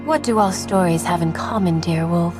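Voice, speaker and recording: A woman playing a gentle, solemn lamb spirit of death in a video game, softly and breathily, with calm measured delivery. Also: fairly low pitch, close to an everyday voice. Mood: solemn storytelling. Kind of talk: dramatic game character dialogue. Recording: music behind the voice.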